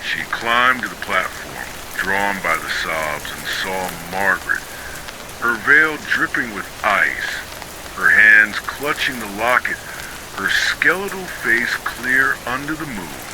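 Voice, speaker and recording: A man reading a scripted ghost story for sleep in a measured, dramatic storytelling manner. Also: fairly low pitch. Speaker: horror story narrator. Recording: steady rain noise behind voice. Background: rain falling.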